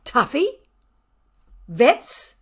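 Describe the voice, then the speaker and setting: A woman voicing a soft-hearted, horrified little girl who pleads plaintively in a children's storybook. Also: high voice, huge pitch swings, extremely slow, exaggerated voice. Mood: horrified pleading. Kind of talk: children's storybook character reading.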